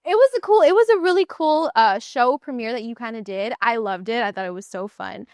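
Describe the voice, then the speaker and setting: A woman's voice: on the high side, animated, fairly quick, a little mellow, dry recording, studio quality. A woman hosting a casual interview, asking questions in a chatty, informal way. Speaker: interviewer, host.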